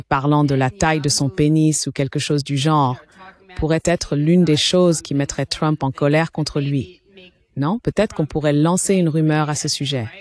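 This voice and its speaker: A woman's voice: low voice, a little mellow. A woman putting an audience question to a panel, heard as a translated voice-over.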